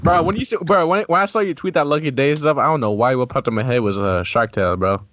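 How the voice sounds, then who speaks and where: A man's voice: medium pitch, quick pace, a bit bright, a little hiss or hum. A young adult man chatting playfully as a podcast co-host, wearing a headset in front of a mic at home. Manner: playful, laughs easily. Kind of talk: casual chat. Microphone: headset with studio mic. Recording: dull lo-fi call audio.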